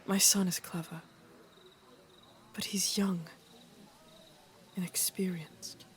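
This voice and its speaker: A woman playing an aging, regal queen and mother in a fantasy audio drama, speaking in a measured, deliberate and wry way. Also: fairly low pitch, steady tone, slight background noise. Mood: grave, worried. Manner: measured, deliberate, dryly teasing.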